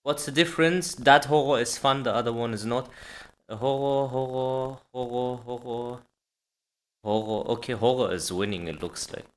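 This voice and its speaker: A man's voice: mid-range voice, normal speed. A man hosting a livestream, chatting informally and speaking straight to his viewers. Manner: informal, addressing viewers directly. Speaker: livestream host. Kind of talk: casual chat to stream viewers.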